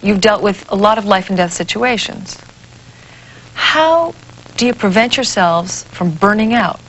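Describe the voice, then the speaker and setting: A woman's voice: mid-range voice, expressive, measured pace, a bit bright, lo-fi sound. Woman hosting a call-in show, faint hiss. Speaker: radio call-in show host. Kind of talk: conversational interviewing, taking calls. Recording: faint hiss, old broadcast tape.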